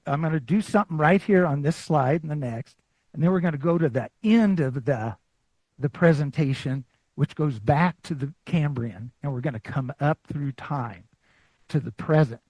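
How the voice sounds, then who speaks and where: A man giving a public talk with slides, relaxed and rambling, with many fillers and restarts, and joking about himself. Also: a little high, moderate pace, slightly rough, dry recording, low-quality recording. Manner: self-deprecating. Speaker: guest lecturer.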